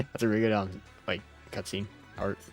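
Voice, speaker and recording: A man's voice: on the low side, brisk, over music. A man who is under the weather, streaming a game with informal, jokey commentary and reading out story text. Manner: informal, jokey, often chuckling. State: sick.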